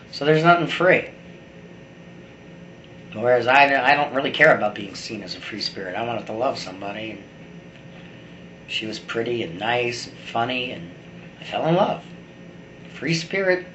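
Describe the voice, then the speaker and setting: A middle-aged man giving a rambling, blunt and opinionated personal monologue, like a video blogger talking to his camera. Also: medium pitch. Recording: echoey room with faint background noise.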